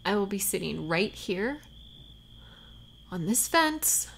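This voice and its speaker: Slow, tender, worried woman, acted, faint night ambience. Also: mid-range voice, big pitch swings, creaky, a little hiss or hum, full character voice. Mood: worried, pleading. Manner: soft, halting, sighing, sometimes near whisper. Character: tender, worried woman parting from her warrior beloved. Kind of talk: intimate scripted audio roleplay.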